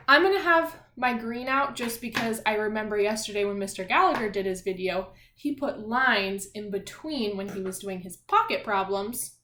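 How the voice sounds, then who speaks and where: A woman with a fairly high voice speaks as a primary school teacher to young children. Her delivery is animated and encouraging, with a sing-song lilt. The room is clearly echoey, with faint noise behind her. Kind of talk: read-aloud and lesson for young children.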